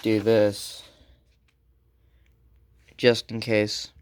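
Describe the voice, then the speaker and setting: A man giving a casual, unscripted how-to as a hobbyist repairer, halting and informal as he thinks aloud. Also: fairly low pitch, completely flat delivery, noisy background. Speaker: hobbyist electronics repair presenter.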